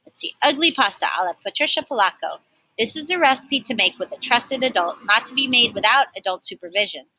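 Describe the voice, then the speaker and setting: A woman's voice: on the high side, lively intonation, a little fast, pushed and bright, slight background noise, rough recording. A woman reading a children's storybook aloud expressively, like a teacher, with emphatic stresses and chatty asides.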